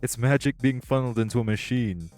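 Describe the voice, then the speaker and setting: A man playing a composed, self-assured tech company boss in an audio roleplay, speaking in a polished, measured, articulate way. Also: mid-range voice, darkish tone, soft background music, lightly acted. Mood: warm, professional. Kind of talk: audio roleplay acting, one-sided dialogue.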